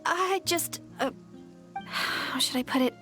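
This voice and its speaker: A woman's voice: high-pitched, takes it slowly, over music. A woman voicing a shy, easily flustered young village woman in a game, who talks hesitantly and stammers. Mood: shy earnest. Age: young adult. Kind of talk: game dialogue.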